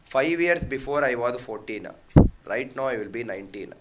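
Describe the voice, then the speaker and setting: A man's voice: mid-range voice, lively intonation, moderate pace, a bit bright. A man teaching a live math lesson, explaining step by step and repeating points. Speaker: online exam-prep teacher. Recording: dull lo-fi audio with faint noise.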